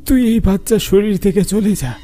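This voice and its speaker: A man's voice: sits high, moderate pace, dark tone, close to an everyday voice. A man voicing an urgent, commanding village exorcist cleric in a horror cartoon. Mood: stern, demanding. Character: stern male village exorcist cleric confronting spirits.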